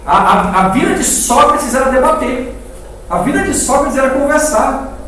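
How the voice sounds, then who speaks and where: The sound is very echoey and distant, with faint noise. A high-voiced Brazilian man teaches a church class in an informal, didactic way. He repeats words for emphasis and keeps turning to his listeners with questions. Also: expressive, moderate pace, fairly bright tone. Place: echoing indoor room, live class.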